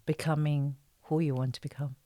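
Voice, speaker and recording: Low-pitched, hesitant woman in an interview. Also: flat delivery, moderate pace, warm tone, no room sound. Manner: hesitant, searching for words in short broken phrases. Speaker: guest actress being interviewed. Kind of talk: casual podcast interview chat.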